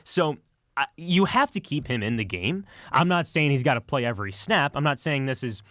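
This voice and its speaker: A man's voice: medium pitch, expressive, normal speed, a bit bright, no echo. A male sports analyst with a mid-range voice talks in a conversational radio style. He explains things earnestly and sometimes trips over his words. The studio sound is very dry. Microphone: close studio microphone.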